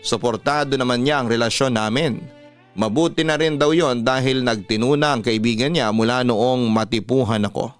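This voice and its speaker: A man's voice: mid-range voice, steady tone, normal speed, clean tone. A man with a Filipino accent reading a scripted first-person letter as a storyteller in classic radio style. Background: music.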